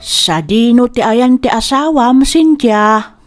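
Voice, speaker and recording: Woman acting a higher-voiced concerned, indignant mother. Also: lively intonation, slow, music in the background. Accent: Filipino, Ilocano. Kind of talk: Ilocano audio drama acting.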